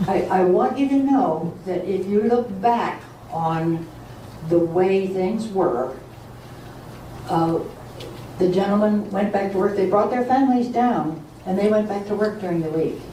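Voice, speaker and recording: An older woman recalling old family summers in a slow, fond way. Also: medium pitch, mellow. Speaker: speaker sharing memories. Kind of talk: oral history reminiscence. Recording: roomy hall from far off, faint noise.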